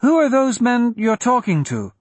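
Guy doing a little girl, small scared voice, way up high, lilting, audiobook kid. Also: very animated, moderate pace, no room sound, full character voice. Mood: worried. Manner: small voice, questioning, protesting. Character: small, thin young girl, worried and innocent.

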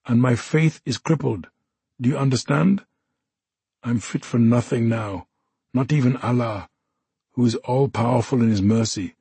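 A man's voice: mid-range voice, unhurried, vocal fry, long pauses, warm tone, slightly rough, no echo, full character voice. A man voicing an embittered, drunk one-handed soldier who talks in a formal, officious way and growls. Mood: despairing. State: drunk and unsteady.

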